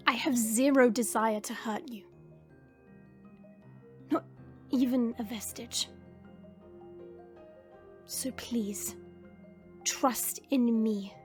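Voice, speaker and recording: Woman voicing a high, solemn dragon queen, music behind. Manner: measured, formal, deliberate phrasing. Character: regal female dragon queen, solemn, earnest and resolute. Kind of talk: scripted audio roleplay acting with first-person narration. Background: background music.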